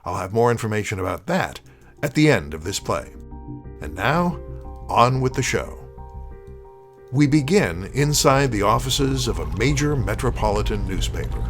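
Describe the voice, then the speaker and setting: A man's voice: mid-range voice, very animated, moderate pace. A man hosting an audio drama show, delivering a scripted introduction. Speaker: host. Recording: music under the voice. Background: music.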